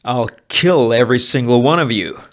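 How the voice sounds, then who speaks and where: A man's voice: medium pitch, animated, normal speed, pitched above the performer's own voice, natural voice. A man playing a violent, menacing Wild West sheriff who barks his lines aggressively. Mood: menacing, angry. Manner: barking, aggressive.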